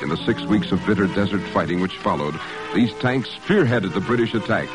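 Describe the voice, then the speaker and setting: A man's voice: on the low side, animated, brisk, poor audio. A man working as a radio announcer, reading scripted narration in a formal, declamatory 1950s style. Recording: vintage radio broadcast, music behind.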